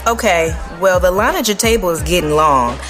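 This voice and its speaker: A woman's voice: lowish voice, animated, moderate pace, a bit bright, faint music behind. A woman playing a caring, encouraging young best friend in an audio drama, speaking with affection. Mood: concerned, caring. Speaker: supporting actress.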